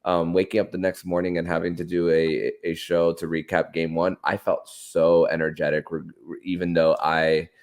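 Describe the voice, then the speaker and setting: Deep-voiced, halting male podcast host. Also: flat delivery. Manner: halting, stammering repetition of words. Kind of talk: conversational sports analysis.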